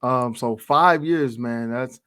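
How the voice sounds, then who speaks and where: A man's voice: medium pitch, takes it slowly, fairly bright tone, clean tone, no echo. Casual slangy man hosting, close dry sound. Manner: slangy, laid-back, agreeable. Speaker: host and interviewer of a hip-hop show. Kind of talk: casual interview chat.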